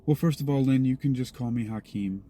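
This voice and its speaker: A man answering interview questions in a conversational, deliberate, explanatory way, laying out points step by step. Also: mellow. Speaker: interview guest, creator of audio dramas. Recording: noisy recording with vehicle-like rumble.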